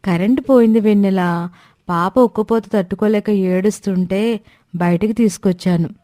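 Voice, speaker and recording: A woman acting a devoted, gentle elder sister in a moral story. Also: medium pitch, moderate pace, dry recording, clean studio sound, natural voice. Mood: earnest, tender. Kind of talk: acted moral-story dialogue.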